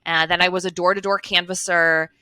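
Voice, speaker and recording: A woman's voice: fairly low pitch, level tone, brisk, pushed and bright, smooth, no room sound. A woman, telling her story as a guest over a headset video call, earnest and fluent with frequent fillers. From Chicago, USA. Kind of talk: casual interview storytelling. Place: home office with blinds, indoors.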